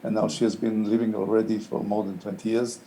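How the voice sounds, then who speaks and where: Low, mellow-voiced older man, halting. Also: flat delivery, slight background noise, low-quality recording. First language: Hebrew. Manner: thoughtful, halting, many "uh" fillers. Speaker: interviewed playwright.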